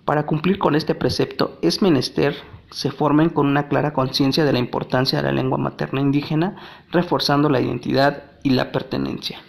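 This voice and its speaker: A man from Mexico whose first language is Nahuatl, reading a language lesson in a measured, deliberate way. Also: on the high side, fairly even intonation, gravelly. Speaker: language teacher.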